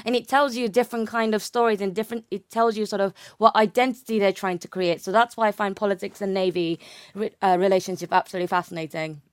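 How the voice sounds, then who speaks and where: A woman's voice: mid-range voice, steady tone, quick pace, smooth, no echo, studio quality. A young woman speaking as an academic guest, explaining her research conversationally and often rephrasing with "sort of".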